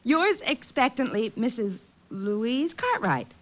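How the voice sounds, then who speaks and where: A woman's voice: on the high side, slow pace, heavily acted. An actress playing a detective's sophisticated girlfriend, amused and fondly exasperated, in old radio comedy-drama style. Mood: amused reading. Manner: lively, teasing, mock-scolding. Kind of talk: 1940s-50s radio comedy-drama acting. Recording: dull old-time radio recording.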